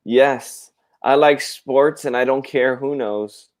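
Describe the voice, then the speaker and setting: A man from Puerto Rico, co-hosting a casual live stream and explaining things slowly. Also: medium pitch. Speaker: co-host.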